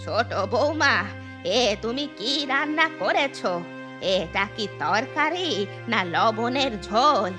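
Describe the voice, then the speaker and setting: A woman voicing a cartoon mother-in-law, the household matriarch, with a deliberate, exaggerated delivery. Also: on the high side, animated, music in the background. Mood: disgusted, scolding. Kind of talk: Bengali cartoon storytelling voice acting.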